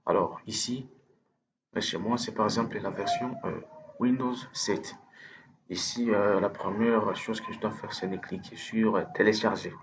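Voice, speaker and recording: Hesitant French-speaking man, low voice. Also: fairly even intonation, measured pace, noisy background. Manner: hesitant, many fillers and restarts. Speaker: instructor. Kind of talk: screen-recorded software tutorial.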